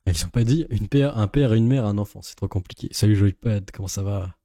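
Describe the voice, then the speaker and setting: A man's voice: on the low side, a little fast, noisy background. A man giving casual, sardonic, complaining live commentary as a streamer. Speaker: streamer, reaction host.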